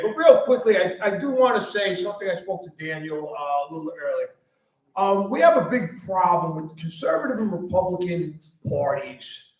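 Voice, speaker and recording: Blunt New man, echoey hall. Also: high-pitched. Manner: blunt, emphatic, repeats "okay?". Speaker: county party chair. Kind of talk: panel discussion remarks. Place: club meeting room. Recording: echoey room, mic at a distance.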